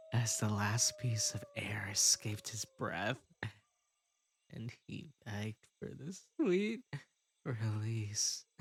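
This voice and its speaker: A man voicing an unhinged, possessive male bunny spirit in an audio roleplay, coaxing softly and breaking into giggles. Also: medium pitch, vocal fry, full character voice. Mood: gloating. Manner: soft coaxing delivery broken by giggles and sudden outbursts.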